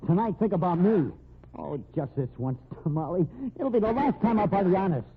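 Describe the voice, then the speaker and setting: An actor playing a desperate fugitive hoodlum in an old radio crime drama, talking tough, hard-bitten gangster slang. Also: male voice, sits high, expressive, moderate pace, slight background noise. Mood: desperate pleading. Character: desperate fugitive brother, a small-time hoodlum. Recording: tinny, narrow old radio recording.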